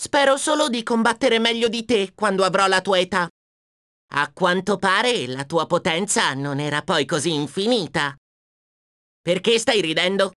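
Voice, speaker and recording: A woman's voice: mid-range voice, moderate pace, a bit bright, no echo, close to an everyday voice. A woman voicing a plucky, cheeky young male dragon hero in a video game, self-assured and wisecracking, close on a dry studio mic. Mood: cocky. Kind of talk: video game character acting. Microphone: close studio microphone, dry.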